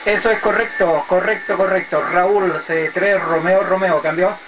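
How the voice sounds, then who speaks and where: Slow-talking man on noisy ham radio. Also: sits high, fairly even intonation. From Chile. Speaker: amateur radio operator. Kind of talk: casual ham radio contact with call signs. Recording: noisy amateur radio satellite contact.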